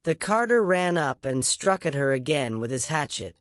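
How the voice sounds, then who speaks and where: A storyteller giving an expressive scripted reading in a storybook narration style. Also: medium pitch, normal speed, dry recording, studio quality.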